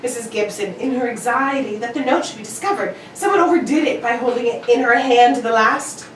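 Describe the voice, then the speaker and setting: A high-pitched, brilliant detective played by a woman speaks in an exacting, theatrical radio-play manner. The voice comes from off the mic in an echoey room, with every phrase laid out precisely. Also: a little fast, close to an everyday voice. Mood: triumphant, explanatory. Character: brilliant, exacting male detective, brisk and self-critical. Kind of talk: old-time radio drama acting. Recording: echoey room, distant mic, faint noise.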